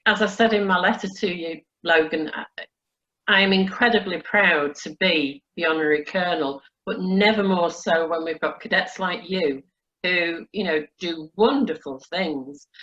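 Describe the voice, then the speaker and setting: Effusive low-voiced woman on dull audio. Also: a bit bright. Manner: effusive, encouraging. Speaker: honorary colonel hosting a presentation. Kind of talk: warm congratulatory ceremonial chat.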